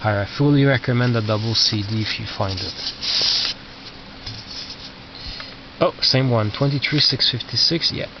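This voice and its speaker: A man's voice: medium pitch, a little slow, pauses often. Man rambling casually, distant noisy lo-fi recording. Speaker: record collector presenting his collection. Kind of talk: casual unscripted show-and-tell commentary. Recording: lo-fi, noisy, distant mic with clicks.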